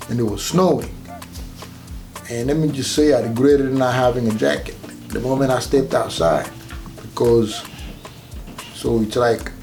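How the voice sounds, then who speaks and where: A man's voice: medium pitch, gravelly, a little mellow. A man from Rwanda with a Rwandan accent, telling personal stories casually as an interview guest. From Rwanda, grew up partly in Kenya.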